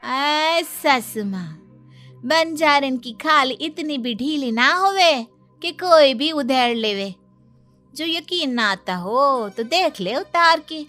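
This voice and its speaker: Slow, high-pitched feisty young bride. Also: female voice, expressive, bright, forceful tone, soft background music, heavily acted. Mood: defiant taunting. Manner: drawn-out, defiant, taunting delivery. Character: feisty, fearless young nomad daughter-in-law defying her mother-in-law. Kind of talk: dramatic cartoon story dialogue.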